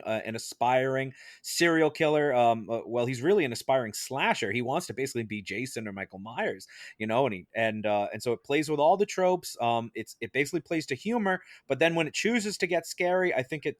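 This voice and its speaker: A man's voice: mid-range voice, brisk, no echo, studio quality. A man hosting a podcast in casual panel chat, with a jokey, teasing manner. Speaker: podcast host.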